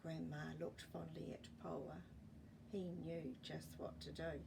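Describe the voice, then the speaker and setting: A woman's voice: low-pitched, little pitch movement, takes it slowly, creaky, background noise. A woman reading a children's story expressively, with playful asides to listeners.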